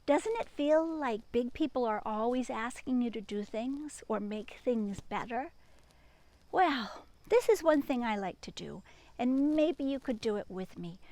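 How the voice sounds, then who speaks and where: A woman teacher reading a picture book aloud and chatting to young children in an expressive, sing-song manner, with hushed asides. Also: on the high side, unhurried, no room sound, rough recording.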